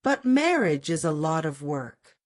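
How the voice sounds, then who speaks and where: Woman playing a kind, easygoing young bride, expressive. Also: low-pitched, measured pace. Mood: relaxed, casual.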